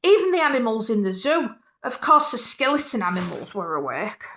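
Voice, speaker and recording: A woman reading a children's picture book aloud in an animated way, with casual, playful, apologetic asides. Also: on the high side, fairly quick, slight background noise. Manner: playful, self-interrupting, apologetic.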